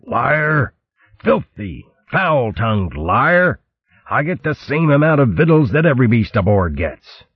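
A man's voice: fairly low pitch, very animated, slow pace, breathy edge, no echo, voice pushed up, heavily acted. A man voicing a hot-tempered male fox pirate captain who roars and sulks in pirate talk, on a tinny lo-fi recording. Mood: furious. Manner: roaring, huffy, pirate-talking. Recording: tinny lo-fi line.

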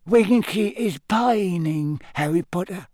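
A man voicing an earnest, devoted little male house-elf who speaks in a high, hushed voice. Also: slow pace, dry recording, studio quality.

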